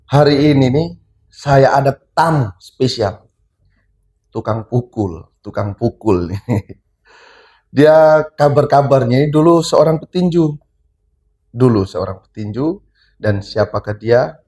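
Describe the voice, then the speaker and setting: A man's voice: mid-range voice, expressive, takes it slowly, pauses often, dark tone. A man hosting a casual podcast interview, chatty and joking, firing short questions at his guest. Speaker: podcast host.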